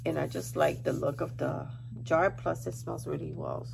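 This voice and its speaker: Congested woman with heavy vocal fry. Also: low voice, big pitch swings, moderate pace, background noise. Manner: informal, rambling, thinking aloud. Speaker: home decor haul vlogger. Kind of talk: casual shopping haul chat to viewers.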